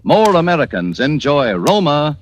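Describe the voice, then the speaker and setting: A man's voice: on the high side, sing-song, moderate pace, lo-fi sound. A man reading a 1940s radio advertisement in a polished, emphatic announcer's style. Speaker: commercial announcer. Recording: hissy old radio recording.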